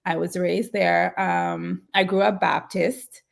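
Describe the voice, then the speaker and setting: A woman, a podcast guest telling her life story in lively casual chat and laughing often. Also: fairly low pitch, slow, gravelly. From Bahamas.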